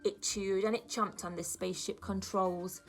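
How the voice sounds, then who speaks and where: A woman, a primary school teacher, reading aloud to young children in an expressive storybook style with dramatic emphasis. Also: mid-range voice, moderate pace, soft background music.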